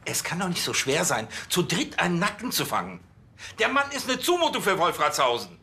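A man's voice: high-pitched, huge pitch swings, brisk, sharp tone, exaggerated voice. An actor playing an exasperated, sarcastic police chief who scolds his officers in a biting way, in a TV crime comedy.

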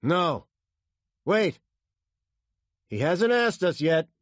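A tense police commander, acted by a male narrator in an audiobook. He gives clipped, urgent orders in a raised, lively pitch. The sound is dry. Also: very slow, dry recording, heavily acted.

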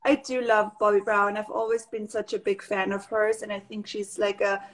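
A woman's voice: mid-range voice, level tone, moderate pace, low-quality recording. Woman chatting on a video call. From Austria. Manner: chatty, warm, with many fillers and restarts. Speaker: guest, makeup artist. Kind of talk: casual video call interview. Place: living room at home.

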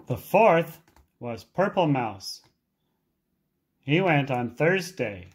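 An English teacher reading a storybook aloud for learners, with deliberate, expressive and emphatic phrasing. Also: mid-range voice, slightly rough.

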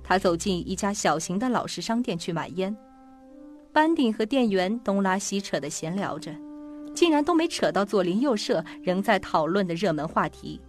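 A woman narrates a scripted suspense audio drama. Her voice sits at a medium pitch, with faint music playing underneath. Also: animated, normal speed. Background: background music.

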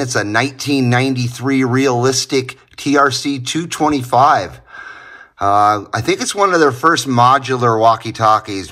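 A man's voice: mid-range voice, sharp tone, no echo, clean studio sound. A middle-aged man giving a casual, enthusiastic hands-on demo in an informal way, as host of a hobby channel. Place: small indoor garage, partly from inside a car.

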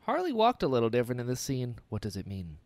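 A man hosting a podcast, enthusiastic and animated, often acting out little quoted exchanges. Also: medium pitch, fast, no echo, clean studio sound. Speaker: podcast host. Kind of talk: casual interview chat.

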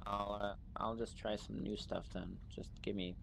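A man's voice: on the low side, flat delivery, normal speed, vocal fry. A young man chatting casually in character as a bar customer in improvised game role-play over voice chat. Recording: faint background noise on game voice chat.

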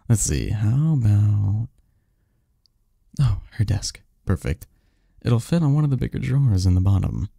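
A man playing a sardonic young research assistant in an audio roleplay, his voice very dark and gravelly. He talks haltingly and intimately, dropping at times into breathy tones, and the sound is close and dry. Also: fairly low pitch, animated, no room sound, exaggerated voice. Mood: casual, distracted. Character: snarky, cynical young research assistant, flustered when hurt. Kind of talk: intimate one-sided audio roleplay acting.

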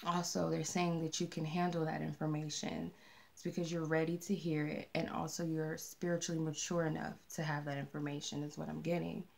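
A woman with a soft, mellow voice giving a casual channeled tarot reading, chatty and rambling with many fillers. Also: low-pitched, level tone, a little fast, creaky. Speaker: tarot reader. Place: candlelit room with a tarot table. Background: R&B music playing.

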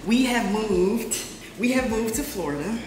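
A woman's voice: animated, a little slow, noisy background. A woman vlogger giving a chatty, upbeat tour of her new apartment, filming herself with a handheld camera. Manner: chatty, upbeat, says "boom" and "period" a lot. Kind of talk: casual apartment tour vlog. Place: empty apartment, indoors. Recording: echoey empty room with music behind. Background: background music.

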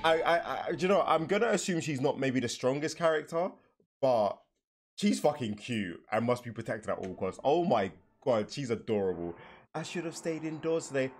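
Animated young man reacting excitedly. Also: mid-range voice, moderate pace. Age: young adult. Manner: expressive, exclamatory, slangy, profane. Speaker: reaction video host. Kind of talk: casual excited live reaction commentary. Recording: background game music under voice.

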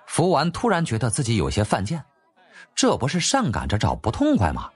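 一位男演播者以戏剧化的方式讲述历史小说，是照稿演播的有声书旁白。. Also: male voice, mid-range voice, huge pitch swings, brisk, breathy edge. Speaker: audiobook narrator. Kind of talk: dramatic scripted narration of a historical novel.

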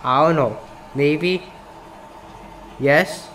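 Slow-talking young man from Singapore. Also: a little high, fairly bright tone, a little hiss or hum. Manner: reads questions aloud and reacts, saying "bro" a lot. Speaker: gaming YouTuber. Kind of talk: casual gameplay commentary. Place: small room indoors.

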